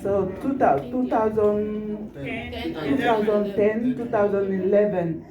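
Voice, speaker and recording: A man interpreting a sermon into English live, halting, with many restarts and fillers. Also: sits high, steady tone, dull audio, crowd noise. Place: church hall. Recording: echoey hall from far off.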